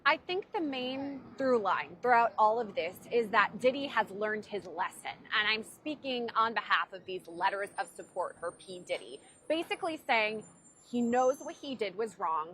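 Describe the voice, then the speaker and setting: A woman court reporter explaining a case in measured legal news commentary. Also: medium pitch, animated, fairly bright tone, a little hiss or hum.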